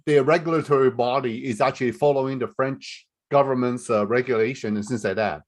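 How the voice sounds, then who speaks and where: A man moderating a webinar Q&A over a video call, chatty and halting, with frequent laughs and restarts. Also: medium pitch, steady tone. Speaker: forum moderator.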